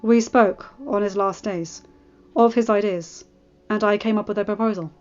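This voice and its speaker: A woman's voice: mid-range voice, fairly even intonation, moderate pace, darkish tone, natural voice. A woman voicing a polite, earnest young nobleman making a formal request. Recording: dull audio with faint music.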